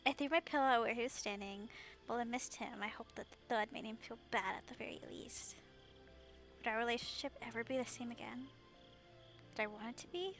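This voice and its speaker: A woman's voice: a little high, fairly quick, a bit bright, soft background music. A woman voicing a wounded, brooding first-person narrator of a melodramatic story, subdued and wistful. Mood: hurt, wistful.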